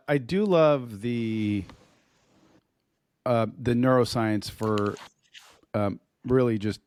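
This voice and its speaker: A man co-hosting a podcast in casual chat, his voice hoarse as he loses it, talking in a rambling way with restarts and throat clearing. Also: on the low side, darkish tone, no room sound, studio quality. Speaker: podcast co-host.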